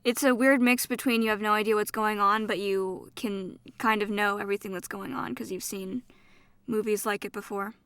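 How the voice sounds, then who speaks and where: A woman's voice: medium pitch, fairly even intonation, moderate pace, fairly bright tone, clear, resonant tone, dry recording. A woman co-hosting a podcast, chatting casually as she reviews films. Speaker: podcast co-host. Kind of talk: casual film review chat.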